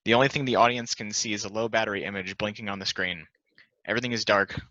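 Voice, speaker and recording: A man narrates a play's stage directions at a table read. His voice is fairly low and very bright and forceful. The recording is dry and somewhat lo-fi. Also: fairly even intonation, fast talker, no room sound. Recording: dull, lo-fi close dry recording.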